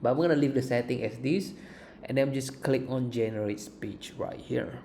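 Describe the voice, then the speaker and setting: Mellow, gravelly male tutorial presenter. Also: medium pitch, normal speed. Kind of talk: informal software walkthrough. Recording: faint background noise.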